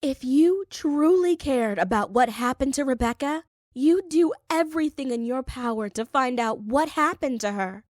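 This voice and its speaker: A woman's voice: sits high, expressive, moderate pace, no room sound, clean studio sound, voice pushed up. A woman voicing a bitter, furious younger woman in an audiobook, hissing and snapping her accusations. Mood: bitter, outraged. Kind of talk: audiobook character voice.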